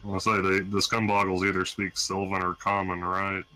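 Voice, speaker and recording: A man's voice: sits low, monotone, moderate pace, bright, forceful tone, no room sound. A man playing a tabletop game, chatting casually with the group. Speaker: player.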